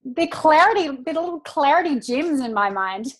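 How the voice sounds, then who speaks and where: A woman's voice: sits high, lively intonation, moderate pace. A woman in her thirties from New Zealand, with a New Zealand accent, talking reflectively and chattily as a podcast guest, with many fillers. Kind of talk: casual podcast conversation.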